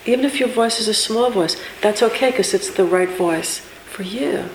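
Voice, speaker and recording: Woman, spiritual teacher, reflective and unscripted, pauses between phrases, creaky voice, roomy echo, informal group talk. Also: medium pitch. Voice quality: big voice. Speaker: meditation and chanting teacher. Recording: echoey room with faint background noise.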